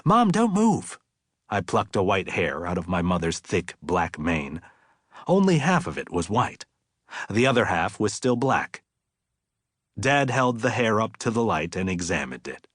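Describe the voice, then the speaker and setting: A man reading literary fiction as an audiobook narrator, in a measured, deliberate and expressive way. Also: deep voice, no echo, studio quality.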